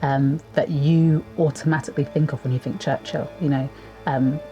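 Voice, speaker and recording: Actress chatting, deepish warm voice, interview style, some music behind her. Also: steady tone, normal speed, muffled recording. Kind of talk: casual interview talk.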